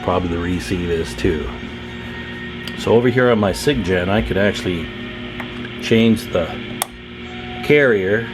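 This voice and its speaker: A man's voice: low voice, measured pace, pauses often, over music. A man talking informally and thinking aloud as he gives a casual hands-on demonstration as a radio repair hobbyist.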